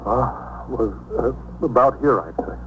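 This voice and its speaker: An American actor playing a rattled working-class bartender, evasive and protesting, in a 1950s radio police drama. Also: male voice, mid-range voice, animated, unhurried, close to an everyday voice. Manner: evasive, protesting, plain-spoken. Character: defensive, rattled working-class male bartender under suspicion. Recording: noisy old 1950s radio recording.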